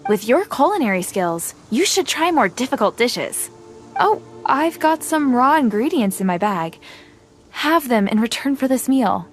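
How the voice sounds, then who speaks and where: An upbeat young survival expert in a video game. She speaks high and with lots of melody, encouraging and friendly. Soft music sits behind her. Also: sing-song, unhurried. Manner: welcoming, encouraging.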